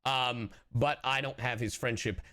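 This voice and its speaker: A man's voice: mid-range voice, steady tone, a little slow, sharp tone. A man reacting casually on a livestream as its host, joking irreverently and swearing heavily.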